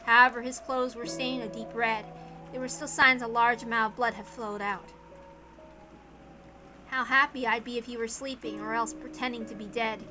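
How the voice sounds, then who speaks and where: A woman with a fairly high voice reads a dramatic story aloud. Her narration is expressive and deliberate, with a theatrical touch. Music plays clearly behind her. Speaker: reader of a visual novel. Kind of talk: dramatic scripted narration with acted dialogue. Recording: background music under the reading.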